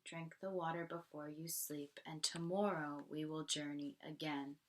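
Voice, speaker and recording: A woman voicing a gentle, loving mother who coaxes and soothes her daughter in a picture-book read-aloud. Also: low-pitched, steady tone, unhurried. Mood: tender, soothing.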